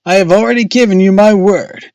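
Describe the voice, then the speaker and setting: Man reading a polite young engineer, higher-pitched. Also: brisk, darkish tone, no echo. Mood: earnest. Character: young male engineer, polite, cautious and earnest.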